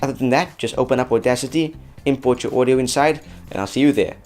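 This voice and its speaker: Man, medium pitch, casual tutorial narration, chatty and informal, jokey host, light music underneath. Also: fast talker, a little mellow. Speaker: host of audio effects tutorials.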